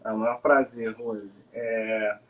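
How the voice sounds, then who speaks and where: A man's voice: fairly low pitch, slow, poor audio. A man, a law professor talking informally as a guest. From Minas Gerais, Brazil. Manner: relaxed, informal, many tag questions. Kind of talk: casual interview talk.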